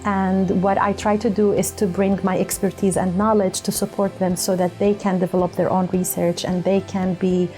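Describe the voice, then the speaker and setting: A middle-aged woman professor explaining her academic work in an earnest, measured way. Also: medium pitch, level tone, dark tone, soft background music. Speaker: university professor and researcher.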